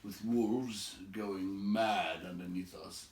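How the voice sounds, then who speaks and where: A man's voice: sits low, slow. Man acting out a crafty, mild-mannered wizard. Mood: modest, storytelling. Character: tactful, crafty male wizard storyteller. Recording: roomy echo, distant from mic.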